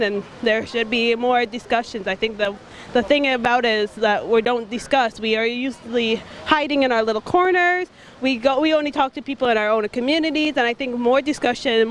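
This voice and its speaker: An earnest speaker with a mid-range voice answers, halting at first, then flowing. Faint street noise carries behind. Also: medium pitch. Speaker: interviewee. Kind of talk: casual street interview answer.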